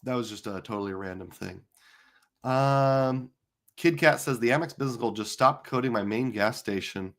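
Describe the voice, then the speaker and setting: A middle-aged man with a hoarse, tired voice, hosting a live stream in casual chat, rambling with lots of fillers. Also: medium pitch, normal speed, fairly bright tone. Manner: chatty, rambling with many fillers. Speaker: livestream host.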